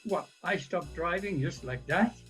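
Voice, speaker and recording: A man's voice: high voice, measured pace, fairly bright tone, faint music behind. A man in his seventies playing a proud, resentful elderly husband in an audio play, protesting indignantly. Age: 70s. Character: proud, resentful 77-year-old husband losing his driving. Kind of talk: audio play stage acting.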